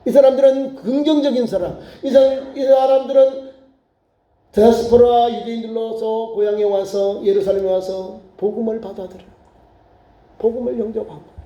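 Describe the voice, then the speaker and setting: Animated high-voiced preaching pastor. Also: male voice, moderate pace, dull audio. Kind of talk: sermon. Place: church sanctuary. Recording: echoey church room.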